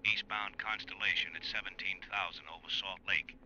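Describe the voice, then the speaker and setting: A man's voice: low-pitched, fairly even intonation, normal speed, creaky, pushed and bright, full character voice. A man playing a businesslike American air controller in a 1950s radio drama, reporting formally over a radio transmission. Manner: formal radio procedure delivery. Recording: muffled, noisy vintage radio recording.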